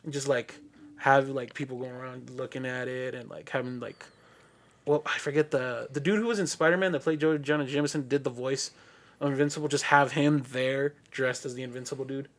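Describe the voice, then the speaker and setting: A man's voice: mid-range voice, fairly even intonation, brisk. A man from the United States, a podcast guest, rambling through casual chat with many filler words. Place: podcast studio.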